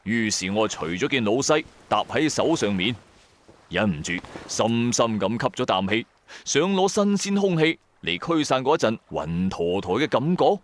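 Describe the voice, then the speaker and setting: A man's voice: mid-range voice, moderate pace. A man with a Cantonese accent narrating an audio drama in a colloquial, dramatised first-person style, with chatty asides to the listener. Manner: colloquial, animated storyteller with rhetorical asides.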